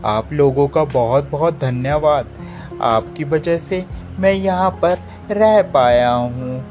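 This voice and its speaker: Man playing a slow-spoken sly cartoon wolf. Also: fairly high pitch, darkish tone, dull audio, soft background music. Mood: polite, grateful. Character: sly wolf newcomer, polite on the surface.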